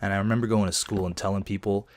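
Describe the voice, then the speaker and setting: A man in his thirties, somewhat hoarse, telling chatty, self-deprecating stories while he plays a game. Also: lowish voice, little pitch movement, very fast. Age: 30s. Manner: chatty storytelling, self-deprecating, laughs often. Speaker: gaming video host. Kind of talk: casual gameplay commentary.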